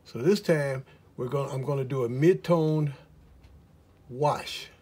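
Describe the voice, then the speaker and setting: A middle-aged man with a husky, slightly hoarse voice, teaching oil painting in a relaxed, rambling way with many fillers in his studio. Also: medium pitch, unhurried. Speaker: art teacher. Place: studio, in front of an easel.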